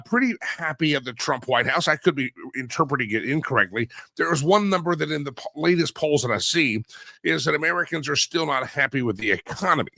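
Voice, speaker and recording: A man hosting a talk show, rambling and joking with many fillers, his voice a little hoarse from a cold. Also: mid-range voice, pushed and bright, no room sound. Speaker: radio and stream host. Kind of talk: casual talk show monologue.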